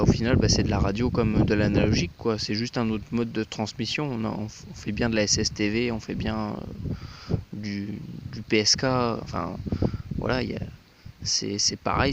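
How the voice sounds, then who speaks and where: A man giving spontaneous, critical commentary as a radio hobbyist, often repeating words as he searches for the next one. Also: on the low side. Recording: dull lo-fi sound, heavy noise, clicks.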